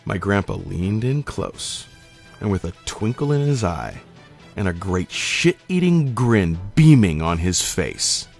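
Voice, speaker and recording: A man's voice: sits low, sing-song, takes it slowly, creaky, darkish tone. A man telling a scripted comic personal story in a dramatic, emphatic, profane manner. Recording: music playing under the voice. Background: background music.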